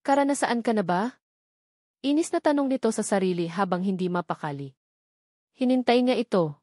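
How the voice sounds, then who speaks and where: A woman's voice: mid-range voice, a little mellow, dry recording, clean studio sound. A woman narrating a romance novel from a script, as a storyteller.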